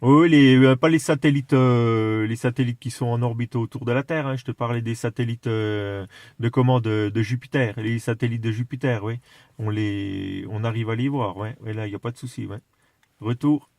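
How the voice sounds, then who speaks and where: A man in a casual radio chat, explaining things with enthusiasm while haltingly searching for his words. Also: medium pitch, steady tone, a little mellow, no echo. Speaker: amateur radio operator.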